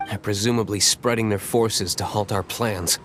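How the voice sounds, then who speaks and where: An actor playing a cool, analytical gentleman swordsman in a video game, with a measured, formal, stoic delivery. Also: fairly low pitch, fairly even intonation, slight background noise, lightly acted.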